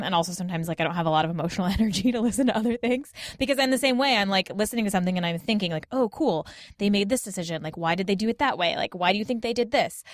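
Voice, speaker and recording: A woman in her thirties talks in a loose, chatty way, peppering sentences with "like" and voicing imagined replies. Her pitch is average, and the sound is very dry with no room echo. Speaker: podcast host as guest. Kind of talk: casual panel chat.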